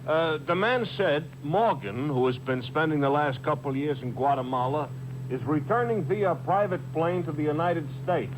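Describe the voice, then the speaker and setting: Actor as high-pitched, offhand radio cabbie. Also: male voice, normal speed, natural voice. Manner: offhand, slangy, deadpan. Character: plain-spoken, laconic cab driver, unbothered sidekick. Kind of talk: 1950s radio mystery acting. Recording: noisy old radio broadcast taped on cassette.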